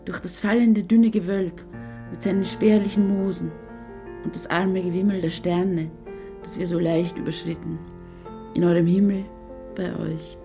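A woman's voice: fairly low pitch, steady tone, normal speed, creaky, over music. A woman giving a scripted recitation of literary poetry. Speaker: reader of poems.

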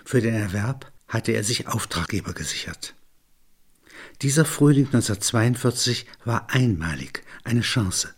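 A man reading literary prose as a narrator, with measured, deliberate phrasing. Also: medium pitch, expressive, slightly rough.

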